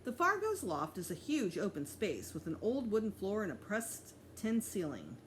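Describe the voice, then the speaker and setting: A woman reading a children's story aloud in an expressive way, like a teacher at storytime. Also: mid-range voice, normal speed, slight background noise. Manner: expressive, acts out dialogue. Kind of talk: storybook read-aloud with character voices.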